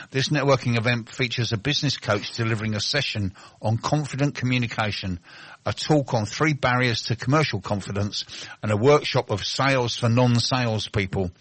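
A man's voice: medium pitch, brisk, fairly bright tone, no echo. A man hosting a local business radio show, reading event listings and trading dry, teasing banter with his co-host. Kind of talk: casual radio chat and event announcements.

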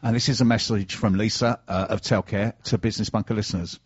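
Low-voiced middle-aged British man. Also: fairly even intonation, fast, husky. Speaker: radio show host. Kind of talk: casual chat and sponsor messages. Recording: dull, band-limited sound.

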